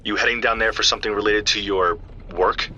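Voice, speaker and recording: A man's voice: lowish voice, steady tone, a little fast, bright, forceful tone, highs cut off, slight background noise. An actor playing a worried man who calls his friend and urgently pleads with him to stop. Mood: guarded. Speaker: caller. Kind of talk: audio drama acting.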